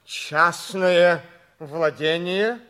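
An actor playing an excitable, indignant, fussy man in a 1960s Soviet radio drama, exclaiming and repeating himself in agitation. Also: fairly high pitch, very slow, clean tone, heavily acted. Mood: flustered, bewildered.

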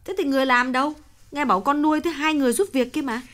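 Guy doing a worried old mom voice, high and soft. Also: a little fast, clear, resonant tone, lightly acted. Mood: surprised. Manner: high falsetto, questioning. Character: older mother, gentle and concerned.

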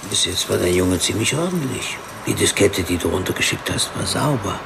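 An actor playing a teasing, unhurried police forensic expert in a radio drama, talking in a wry, easygoing way. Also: male voice, deep voice, animated, full character voice. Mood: matter-of-fact. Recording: clearly noisy background ambience.

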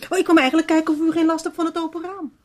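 An actress playing a fussy housekeeper in a radio play, effusive and full of exclamations. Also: female voice, very high-pitched, level tone, very fast, noisy background. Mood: gushing. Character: fussy, gushing housekeeper, eagerly admiring.

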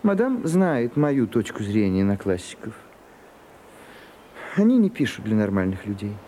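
A man's voice: on the low side, sing-song, unhurried, warm tone, slight background noise. A man playing a fussy, long-suffering secretary to an old actress in a radio drama, deferential yet dryly opinionated. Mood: dryly exasperated. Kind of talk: theatrical radio drama acting.

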